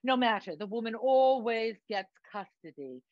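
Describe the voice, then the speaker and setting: A woman's voice: a little high, animated, normal speed, no room sound, natural voice. A flamboyant, self-dramatizing man played by a woman speaks in an affected British accent. The delivery is grand and campy, as in a theatrical monologue, and the recording is dry and slightly muffled. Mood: grandly reassuring. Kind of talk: theatrical monologue, acted dialogue.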